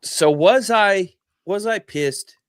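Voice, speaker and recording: A man's voice: high-pitched, slow, clean tone, no echo, studio quality. A married man co-hosting a podcast, wry and teasing in casual chat, keen on a good argument. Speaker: podcast co-host.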